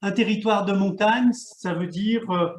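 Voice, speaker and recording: A man, a local mayor, giving a halting panel presentation over a video call, often repeating words as he searches for them. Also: high voice. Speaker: mayor and regional park president. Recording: echoey room.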